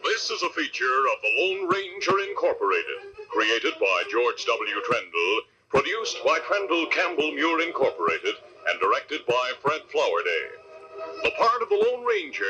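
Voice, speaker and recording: A man's voice: on the high side, huge pitch swings, measured pace, highs cut off, over music. A man announcing in emphatic vintage radio commercial style. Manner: emphatic sales delivery.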